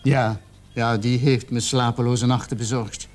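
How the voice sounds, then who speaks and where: Radio play: young male murder suspect played by a man, medium-pitched, remorseful, defensive, halting under questioning. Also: steady tone, a little mellow, close to an everyday voice. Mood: defensive, explaining. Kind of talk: radio drama acting.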